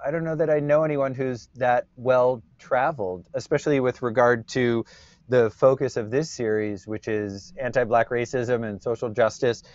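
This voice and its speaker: A man hosting an interview series, talking loudly in a joking, rambling way over a video call. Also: mid-range voice, fairly even intonation, normal speed, no room sound. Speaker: host. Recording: dry, muffled audio.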